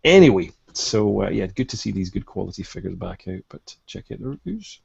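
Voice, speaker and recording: A man's voice: sits low, sing-song, a little fast, vocal fry, darkish tone, husky, no echo. A man co-hosting a collecting podcast in casual chat, agreeable and enthusiastic about details. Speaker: co-host of a toy collecting podcast. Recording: dull, muffled lo-fi audio.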